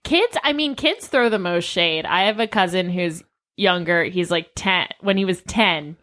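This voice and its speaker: A woman's voice: on the low side, measured pace, fairly bright tone. A woman co-hosting a comedy podcast, chatting casually with sarcastic, sweary humour. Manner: sarcastic, profane.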